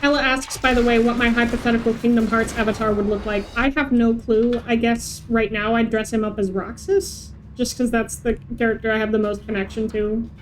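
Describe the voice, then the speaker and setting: Female streamer, higher register, casual host chat during gameplay, slight noise behind. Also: flat delivery, fairly quick, few pauses, low-quality recording.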